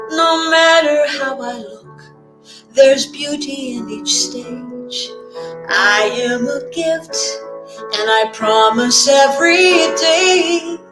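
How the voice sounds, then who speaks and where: A woman musician singing a gentle inspirational song. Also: sits high, low-quality recording. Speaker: guest musician, singer. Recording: loud piano accompaniment. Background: piano.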